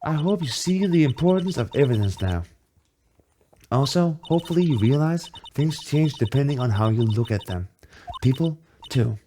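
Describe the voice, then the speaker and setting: A composed woman mentor as a man reads her in a game scene. The delivery is measured and encouraging, at a middle pitch with some lively movement. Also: a little hiss or hum, close to an everyday voice. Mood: earnest, encouraging.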